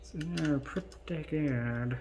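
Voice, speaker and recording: A man giving casual, unscripted unboxing commentary in a halting, hesitant way. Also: fairly high pitch, background noise. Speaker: vlogger doing an unboxing.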